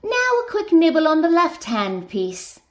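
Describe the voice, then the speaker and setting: A woman's voice: extremely high pitch, huge pitch swings, unhurried, full character voice. A woman describing scenes in a scripted, present-tense radio play narration.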